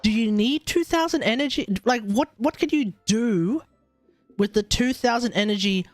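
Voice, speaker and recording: An Australian man doing casual live game commentary, rambling and thinking aloud in slangy talk. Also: sits high. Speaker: game streamer. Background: game music.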